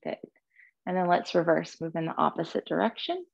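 Female yoga instructor, lowish and creaky, gentle unhurried cues, dry and slightly dull recording. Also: expressive, no room sound. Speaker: yoga and meditation teacher. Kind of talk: guided yoga class with breathing cues.

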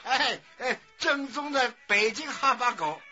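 A man's voice: very high voice, expressive, unhurried. An actor playing a fussy, suspicious old grandfather in a radio drama, blustering and repeating his questions. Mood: proud, fond. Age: older. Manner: loud, blustering, repetitive questioning. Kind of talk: Chinese radio drama acting. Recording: dull lo-fi audio with music.

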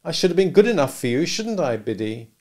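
A man's voice: medium pitch, lively intonation, moderate pace, voice pushed up, close to an everyday voice. A man voicing a restless, discontented young village man who speaks earnestly and impatiently.

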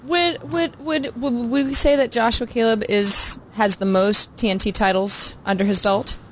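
A woman's voice: fairly high pitch, steady tone, normal speed. A woman co-hosting a podcast in casual, conversational panel chat. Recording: clear background noise.

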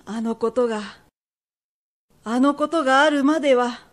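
A woman plays a proud Edo shopkeeper's wife in a radio drama. She has a high voice and a firm, matronly, old-fashioned way of speaking, stern to the core. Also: unhurried. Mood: troubled, wistful. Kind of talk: period radio drama acting.

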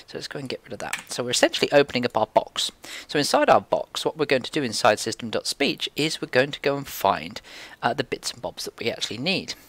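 A man's voice: medium pitch, very animated, quick pace, fairly bright tone, no room sound. A man giving an informal screen-share tutorial, explaining things in a rambling, conversational way. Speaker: programming tutorial presenter.

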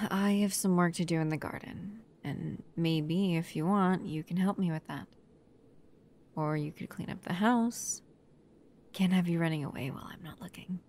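A woman playing a protective, upset human lover in an ASMR roleplay, stern yet often softly whispery. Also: lowish voice, a little hiss or hum. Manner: soft, breathy near-whispers mixed with stern scolding.

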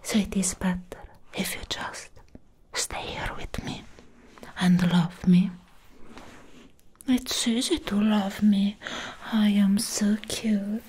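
Woman playing a slow, whispering possessive vampire ex-girlfriend. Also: medium pitch, fairly even intonation, darkish tone. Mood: smug, menacing. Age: young adult. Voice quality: breathy whisper. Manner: slow, drawn-out, coaxing. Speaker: ASMR roleplayer. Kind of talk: soft-spoken ASMR roleplay. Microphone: close microphone.

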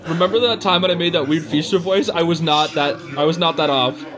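A man giving casual, slangy live reaction commentary in an excitable, giggly, exclaiming manner. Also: a little high, brisk, a crowd in the background. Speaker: host of a reaction video.

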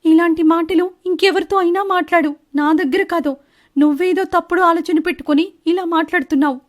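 A woman's voice: very high voice, fairly even intonation, brisk. A woman voicing an evasive, defensive young wife in a cartoon moral story, with protesting innocence. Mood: indignant.

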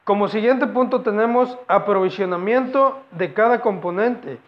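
A young man giving a halting student presentation, explaining project phases and repeating phrases as he goes. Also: sits high. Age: young adult. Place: indoors against a plain wall. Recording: dull lo-fi camera audio.

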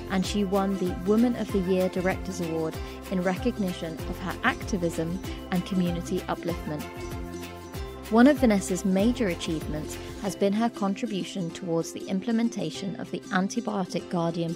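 A woman in her thirties hosting an interview, reading an enthusiastic introduction into a studio microphone. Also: medium pitch. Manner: enthusiastic, warm emphasis. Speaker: podcast host. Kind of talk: scripted guest introduction and interview. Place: pink-lit home studio. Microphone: studio mic with headphones. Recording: music bed behind voice.

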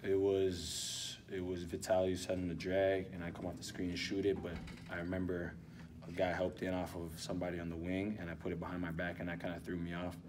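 A young man in his twenties, a college basketball player, answering postgame questions softly and hesitantly with many ums. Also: low voice, monotone, a little fast. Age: 20s. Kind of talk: postgame press interview. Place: indoor arena hallway with block walls. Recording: distant mic, background noise.

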